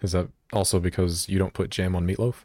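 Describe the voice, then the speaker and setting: A man's voice: low-pitched, flat delivery, fast, noisy background. A man co-hosting a podcast with casual banter and a playful, mock-scolding way of talking. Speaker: podcast co-host.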